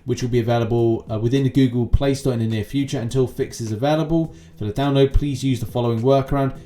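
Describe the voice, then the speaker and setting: Mellow-voiced male gaming news host. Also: medium pitch. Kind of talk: gaming news commentary to viewers.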